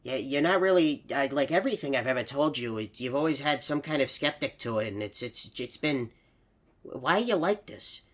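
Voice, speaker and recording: A man voicing a rambling, nostalgic elderly hippo storyteller for a game, stammering and easily sidetracked, with a husky voice. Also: medium pitch, animated, normal speed, a bit bright. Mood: exasperated, animated. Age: older. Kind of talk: meandering character monologue for a horror fan game.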